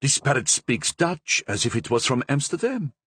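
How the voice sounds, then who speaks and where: An earnest young guardsman, read by a man explains himself plainly to the queen. The voice is lively and slightly lifted in a dry, close recording. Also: mid-range voice, normal speed, husky, no room sound, voice pushed up.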